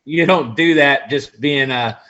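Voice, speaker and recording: A man's voice: medium pitch, a little slow, bright, forceful tone, muffled recording. A man in his forties, a pro angler guest, chatting casually on a video call, rambling with lots of fillers. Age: 40s. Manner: rambling, friendly, full of fillers. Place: room in a house. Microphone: video call webcam.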